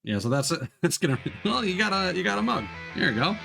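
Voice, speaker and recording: A man's voice: high voice, sing-song, fast talker, gravelly, pushed and bright. A man livestreaming a video game, giving casual, rambling commentary and thinking aloud as he plays. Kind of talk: casual live gameplay commentary. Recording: game music behind the voice. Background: video game music.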